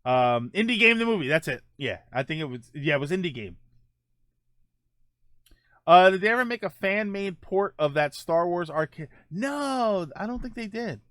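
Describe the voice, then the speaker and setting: A man's voice: sits high, lively intonation, normal speed, a bit bright, dry recording, clean studio sound. A middle-aged man hosting a casual podcast, rambling through viewer comments with many fillers and self-corrections. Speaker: podcast host.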